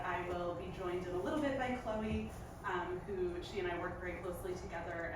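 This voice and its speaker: New york woman giving a talk, lowish voice, lots of ums, echoey room, sounds far from the mic. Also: noisy background. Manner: chatty, many fillers. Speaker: presenter, preservation researcher. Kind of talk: live public talk. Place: event space with live audience. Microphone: handheld microphone in a large room.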